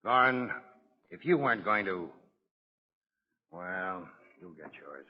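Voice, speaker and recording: A man's voice: sits low, animated, takes it slowly, a little hiss or hum. An actor playing a stern, disapproving male prison guard in 1940s radio drama. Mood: stern, disgusted. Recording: muffled old radio recording.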